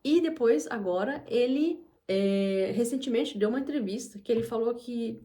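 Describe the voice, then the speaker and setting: A woman's voice: a little high, normal speed, dark tone. A woman, talking casually to camera as the host of her channel, rambling with many restarts and asides. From Brazil. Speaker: English teacher and channel host.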